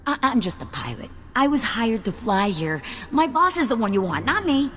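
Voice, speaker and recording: A woman's voice: on the high side, very animated, moderate pace, a bit bright, slight background noise. A woman playing a nervy, streetwise shuttle pilot in a video game, talking casually with stammers and looking out for herself. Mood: panicked, nervous. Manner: casual, stammering, self-protective. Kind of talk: video game cutscene dialogue. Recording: long hall reverb, faint game music.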